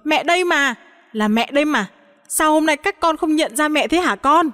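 A woman storyteller plays a feisty grandmother turned young, high-pitched and indignant. She scolds in bewildered exclamations, in an audio story with long reverb. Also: expressive, normal speed, a bit bright. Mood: distressed pleading.

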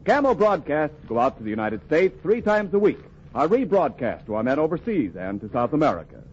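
High-pitched, animated 1940s radio announcer, male. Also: brisk, husky. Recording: dull 1940s radio recording, faint music.

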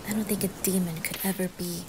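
A woman voicing a guarded teenage boy in a soft, breathy ASMR roleplay, speaking in a hushed, halting way. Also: lowish voice, fairly even intonation, background noise, close to an everyday voice. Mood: suspicious. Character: wary, guarded teenage boy who softens into apology. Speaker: roleplay voice performer. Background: rain and thunder.

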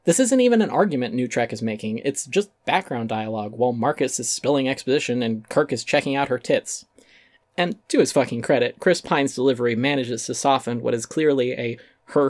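A man's voice: medium pitch, no room sound. Sardonic man reading, dry close sound. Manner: emphatic, sardonic, sweary delivery. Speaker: essay reader, narrator. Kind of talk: dramatic reading of a critical essay.

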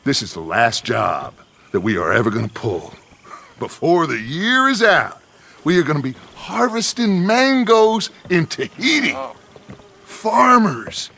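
An actor playing a charismatic, persuasive middle-aged outlaw gang leader in a video game, with a gravelly, theatrical delivery. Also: male voice, sits high, sing-song, a little slow, sharp tone, exaggerated voice. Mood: impassioned persuasion. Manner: theatrical, persuasive, speech-making. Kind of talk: dramatic video game acting.

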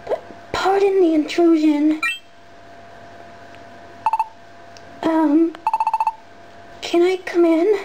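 A woman voicing a timid, earnest young puppy creature in a game fandub, halting and stammering. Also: extremely high pitch, steady tone, noisy background, pitched above the performer's own voice. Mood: nervous, polite. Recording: loud music over the voice.

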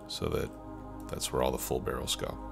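A man with an extremely low, deep voice talks through a game at an easy pace, stuffed up from a cold. He thinks aloud with plenty of ums and uhs while music plays clearly behind him. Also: moderate pace. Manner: relaxed, thinking aloud with many fillers. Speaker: let's play host. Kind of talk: casual gameplay commentary. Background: background music and keyboard typing.

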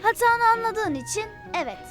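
A performer voicing a sweet, helpful young animal in a children's cartoon, earnest and expressive. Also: very high voice, a little fast. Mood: forgiving, delighted. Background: cartoon background music.

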